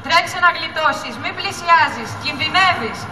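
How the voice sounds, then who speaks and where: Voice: high-pitched, animated, over music. A teenager playing a protective young male sea turtle, urgent and commanding, in an amateur school puppet show. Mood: desperate, urgent. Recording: echoey hall, far from the mic.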